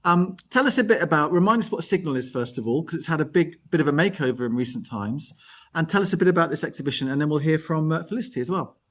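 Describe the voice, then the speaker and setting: A man's voice: fairly high pitch, lively intonation, fast talker. A man hosting a casual live arts call, talking in a chatty, discursive way. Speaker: host of an arts network online call. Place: on location at an art gallery, live video call.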